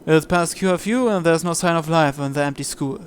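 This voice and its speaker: A man's voice: fairly high pitch, fairly quick, a little hiss or hum. A man reading a visual novel aloud as first-person narration for a playthrough. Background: game music.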